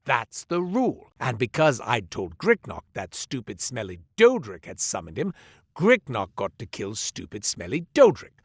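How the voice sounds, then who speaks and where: Wry, theatrical merchant storyteller. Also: male voice, medium pitch, huge pitch swings, normal speed, husky, dry recording, clean studio sound, full character voice. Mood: storytelling. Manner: theatrical, salesman patter, wry. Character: sly smooth-talking male merchant storyteller. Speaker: shopkeeper narrating a tale. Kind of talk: studio game voice lines. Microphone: close studio mic.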